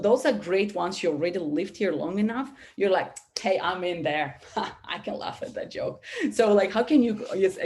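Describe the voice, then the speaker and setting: Animated woman speaking in a webinar. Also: mid-range voice, a little hiss or hum. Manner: animated, many restarts and "you know" fillers. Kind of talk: webinar talk. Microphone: video call.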